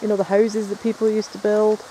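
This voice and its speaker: A man talks in a chatty, rambling way, his voice pitched high yet mellow and soft-edged. He was recorded outdoors in rainy woods at night, with faint rain and a stream behind him. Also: completely flat delivery, a little fast, slight background noise, rough recording. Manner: chatty, rambling, explains as he goes. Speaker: paranormal investigator filming. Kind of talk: casual on-location chat.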